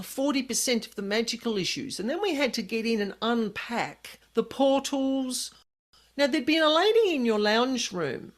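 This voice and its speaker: A woman hosting a chatty podcast, her slightly high voice croaky and hoarse from a passing virus. She explains things earnestly and at length, in an easy, conversational way. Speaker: podcast host. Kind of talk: casual podcast chat.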